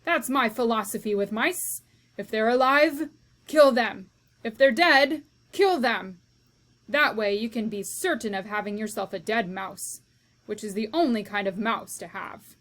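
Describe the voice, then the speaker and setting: A woman voicing a loud, bossy cook who hates mice, shouting in a rough way. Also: mid-range voice, normal speed, natural voice. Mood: shrieking, ranting.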